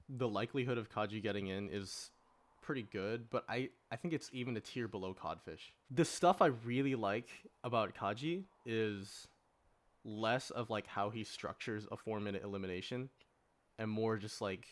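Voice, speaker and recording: A man hosting a podcast panel in a casual, conversational way. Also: on the low side. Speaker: podcast host moderating a panel. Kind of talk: casual discussion.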